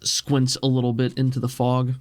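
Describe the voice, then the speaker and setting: A man narrates a tabletop role-playing game as its game master. His voice sits at an average pitch and is recorded very dry and close, with faint music behind it. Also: monotone, moderate pace, no echo. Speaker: game master of an actual-play podcast.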